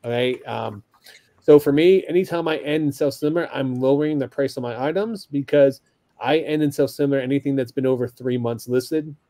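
Mellow-toned man co-hosting a chat. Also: mid-range voice, brisk. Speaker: podcast co-host. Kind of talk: casual live panel chat.

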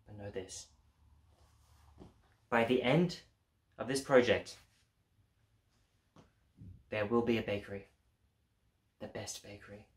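A man's voice: lowish voice, steady tone, takes it slowly, pauses often, reverberant. A young man acting a self-important, melodramatic guy in an amateur comedy sketch, with a pompous, theatrical delivery. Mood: grandiose. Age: young adult. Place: house porch and living room. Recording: noisy amateur camera sound.

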